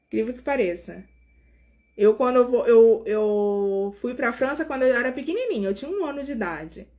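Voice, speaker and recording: A woman's voice: a little high, lively intonation, normal speed. A woman, hosting a casual live stream as a nurse and chatting directly with her viewers, sounding tired. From Brazil. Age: 40s. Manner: chatty, warmly addresses viewers directly, frequent fillers.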